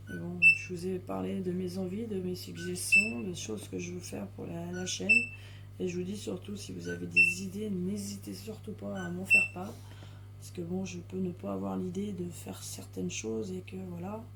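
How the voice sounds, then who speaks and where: A French woman with a hoarse, strained voice, chatting casually to her viewers while coloring and often stopping and restarting. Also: medium pitch, huge pitch swings, normal speed, heavy background noise. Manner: rambling with many hesitations and restarts. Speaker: coloring channel host.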